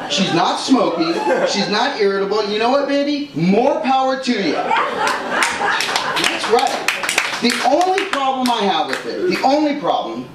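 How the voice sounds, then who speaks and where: A high, lively male voice delivers a stand-up set with punchy, exaggerated timing. He is captured from a distance in a very echoey club, with heavy room noise around him. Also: measured pace. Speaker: stand-up comedian. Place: comedy club stage with laughing audience.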